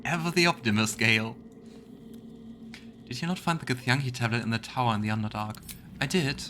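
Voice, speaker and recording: A man's voice: medium pitch, fairly quick, sharp tone, slight background noise. A man hosting a let's play, giving casual game commentary with wry, joking asides. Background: game ambience.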